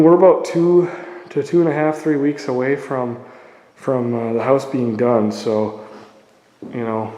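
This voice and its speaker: A young man with a mellow, slightly sore-throated voice gives a rambling tour of his half-built home. He records on a phone he holds himself. The bare rooms ring with a long, roomy echo. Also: mid-range voice. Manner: rambling, chatty, unsure asides. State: sore throat from paint fumes. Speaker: vlogger touring his house under construction. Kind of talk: casual walkthrough vlog. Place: empty house interior with bare walls. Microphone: phone camera held by the speaker. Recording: echoey empty rooms.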